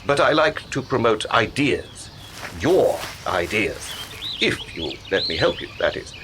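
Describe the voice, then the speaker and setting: An actor in a radio play playing a secretive, cultured male promoter who speaks in a measured, erudite, persuasive way. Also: on the low side, sing-song, noisy background. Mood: persuasive, intriguing.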